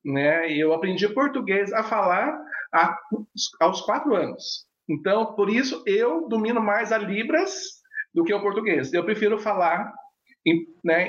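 A man's voice: high-pitched, fairly bright tone. A male pastor and sign language interpreter whose first language is sign language, telling his story hesitantly with many fillers and restarts. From Brazil. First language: Brazilian Sign Language. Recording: echoey, dull lo-fi sound.

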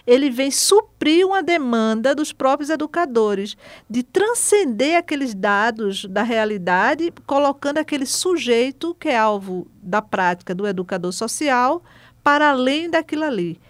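Female professor, Recife accent, high register, dry close sound, measured explanatory delivery. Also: lively intonation, no room sound. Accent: Pernambuco Portuguese. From Recife, Brazil. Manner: measured, lecturing, frequent "né?" tags. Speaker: guest, university course coordinator. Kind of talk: explanatory interview answers.

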